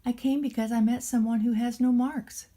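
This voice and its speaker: Woman as a small, high, timid wooden puppet. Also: fairly even intonation, moderate pace, warm tone, natural voice. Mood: hopeful. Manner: hesitant, pleading, questioning. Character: small timid wooden puppet man, insecure, self-doubting.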